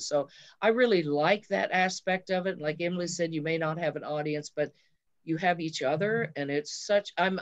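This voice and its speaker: A woman who teaches theater, explaining things enthusiastically in a casual panel conversation over lo-fi call audio. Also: low voice. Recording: dull, lo-fi video call audio.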